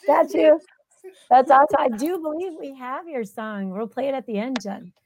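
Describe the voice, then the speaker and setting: A woman's voice: sits high, normal speed, a little mellow, a little hiss or hum, low-quality recording. A woman hosting a live online show over a video call, upbeat and encouraging. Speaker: host.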